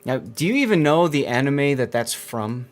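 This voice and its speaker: A man's voice: medium pitch, big pitch swings, normal speed. A man hosting a game tournament on his stream, chatting casually with a co-host and the audience, rambling with fillers.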